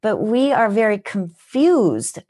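A woman's voice: mid-range voice, big pitch swings, slow pace, dark tone. A woman from Japan with a Minnesotan accent, speaking as a wellness guest in an interview, earnest and teacherly, asking rhetorical questions. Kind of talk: podcast interview.

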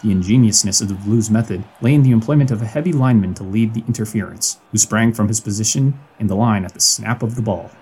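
Brisk, husky, formal football chronicler man acting. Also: fairly low pitch, mellow, rough recording. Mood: earnest, formal. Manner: formal, literary. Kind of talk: recited period sports writing.

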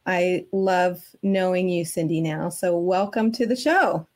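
A woman hosts a conversational podcast interview in a slightly low voice. She sounds reflective and appreciative, often searching for her words as she speaks to her guest. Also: a little slow, darkish tone.

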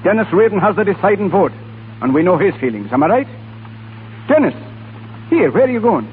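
An actor playing a blunt, menacing hard-line Irish rebel with emphatic, challenging speech in 1930s radio acting style. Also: male voice, sits high, very animated, fairly quick, slight background noise. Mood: brisk, blunt. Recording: tinny 1930s radio recording.

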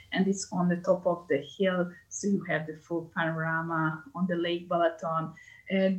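A woman with a Hungarian accent talks as a tour guide in an interview. Her voice sits a little low and stays flat in melody. She chats along easily, filling gaps with many hesitations. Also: rough recording. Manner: many hesitation fillers, chatty. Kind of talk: interview answers, casual.

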